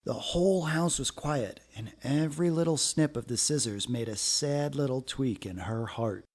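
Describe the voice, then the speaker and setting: A man narrating a children's story aloud in an expressive, deliberate way with dramatic phrasing. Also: medium pitch.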